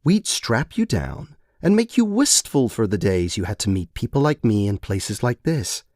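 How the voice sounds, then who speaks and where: A man voicing a cool, amused woman handler, deliberate, condescending and menacing, in an audio story. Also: fairly low pitch, big pitch swings, darkish tone, no echo, studio quality. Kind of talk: scripted short-story character voice.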